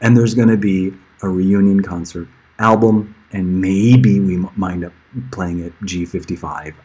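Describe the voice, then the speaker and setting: Rambling middle-aged man, slightly husky. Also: deep voice, steady tone, a little slow, dark tone. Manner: rambling, informal, easily sidetracked, chuckling now and then. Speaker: musician livestreaming to friends and fans. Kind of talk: casual livestream chat.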